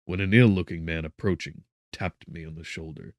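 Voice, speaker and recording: A man reading a gothic audiobook as narrator, in a measured, solemn, theatrical way. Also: low voice, fairly even intonation, dry recording, studio quality. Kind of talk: dramatic scripted narration of gothic fiction.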